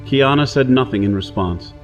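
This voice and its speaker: Male actor as rugged space outlaw, earnest, dramatic, medium pitch, pulp audio drama, prominent music bed. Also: moderate pace, highs cut off, lightly acted. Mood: tense, reflective. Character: weary, lovestruck outlaw adventurer from Earth on Mars. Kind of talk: first-person pulp radio drama narration and dialogue.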